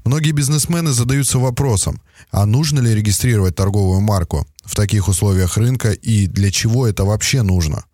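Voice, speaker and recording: A man's voice: lowish voice, a little mellow, dry recording, clean studio sound. A man hosting a business podcast, interviewing a guest and hesitating often with filler sounds. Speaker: podcast host.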